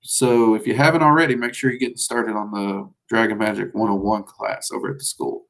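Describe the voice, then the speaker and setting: A man's voice: lowish voice, steady tone, fairly quick, vocal fry, fairly bright tone, poor audio. A man with a Southern US accent hosting a casual livestream, answering viewers in a rambling, folksy way with lots of fillers. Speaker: livestream host teaching magic.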